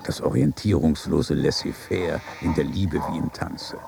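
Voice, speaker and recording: A man's voice: a bass voice, unhurried, gravelly, full character voice. An actor playing a wry, pompous old aristocratic statesman and father in a literary radio drama, speaking in ornate, world-weary phrases. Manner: pompous, sardonic, ornate declamation.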